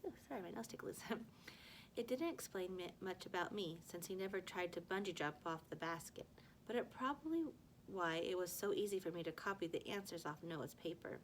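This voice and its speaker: A woman's voice: fairly low pitch, fairly quick. Woman reading a story aloud over noticeable noise. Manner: expressive reading with stumbles and self-corrections. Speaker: teacher reading a book aloud to a class. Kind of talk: storybook read-aloud with acted dialogue.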